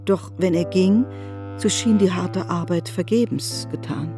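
A woman narrating a literary radio drama as a knowing storyteller, in a measured, articulate manner. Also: on the low side, music in the background. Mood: grave.

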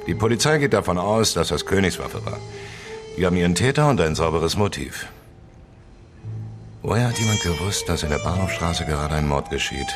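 A gloomy investigator in a radio crime drama, with a very deep voice. He talks tersely and dryly, always probing with questions. Music plays clearly behind him. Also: animated, fairly quick. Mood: pondering. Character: gloomy, probing male investigator with a troubled private life. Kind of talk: naturalistic German radio crime drama acting.

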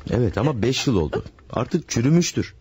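An actor playing a farmer husband who hides a murder and calmly soothes his new wife in a radio play. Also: mid-range voice, a little fast. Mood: soothing. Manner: soothing, reassuring, smooth-talking. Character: scheming farmer husband, secretly a killer. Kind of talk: classic radio drama acting.

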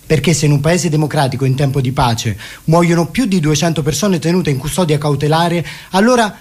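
A man presenting and narrating radio theatre in a declamatory, deliberate way. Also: a little high, darkish tone. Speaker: stage performer and presenter.

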